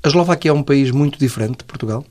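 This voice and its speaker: A man hosting a radio interview, asking brisk conversational questions. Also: mid-range voice, a little mellow.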